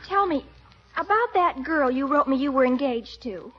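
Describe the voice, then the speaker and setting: High-voiced young niece. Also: female voice, expressive, normal speed. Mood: earnest. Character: young woman, teenage niece, earnest. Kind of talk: 1940s radio acting. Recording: dull old radio broadcast with loud hiss.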